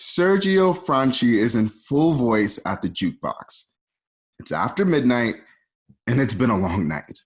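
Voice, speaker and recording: Man, narrator, storytelling read of stage directions, medium pitch, animated intonation, muffled home recording. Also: a little slow. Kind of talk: reading screenplay directions as storytelling. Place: at home, remote ensemble session.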